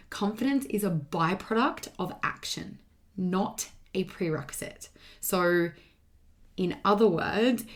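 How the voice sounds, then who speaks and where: Lower-pitched woman giving a motivational podcast talk. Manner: emphatic, repeats key points, casual swearing. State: voice slightly strained from partly losing it. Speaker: solo podcast host and confidence coach. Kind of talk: motivational solo podcast monologue.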